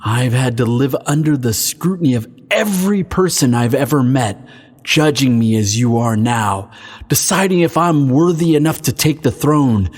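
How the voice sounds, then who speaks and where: A man's voice: medium pitch, lively intonation, moderate pace, darkish tone, slightly rough. A man playing a proud, indignant elder crown prince in a fantasy audio drama, speaking formally and haughtily.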